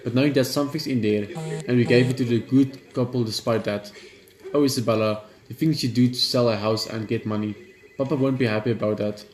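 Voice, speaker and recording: A man's voice: medium pitch, fairly even intonation, a little fast, a little mellow, roomy sound. A man reading a horror visual novel aloud and commenting as he plays, in a plain way with stumbles over words. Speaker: gaming YouTuber.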